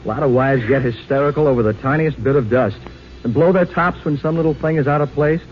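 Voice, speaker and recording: A man's voice: mid-range voice, quick pace, soft background music, natural voice. A man acting as a satisfied, folksy American husband chatting in a 1940s radio advertisement. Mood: folksy, pleased. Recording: muffled, noisy old radio broadcast.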